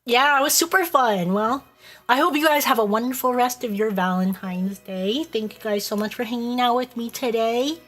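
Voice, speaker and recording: A woman's voice: fairly high pitch, normal speed, fairly bright tone. A woman streamer with a stuffy, congested voice, reading a visual novel aloud and breaking off to joke with her chat. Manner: playful, reads dialogue aloud and breaks off to joke.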